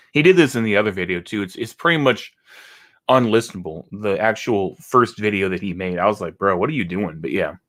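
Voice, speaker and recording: Texan man, lowish voice, rambles and sneers through casual reaction commentary. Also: animated, normal speed, long pauses, fairly bright tone. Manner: rambling, mocking, frequent restarts. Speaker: reaction video host.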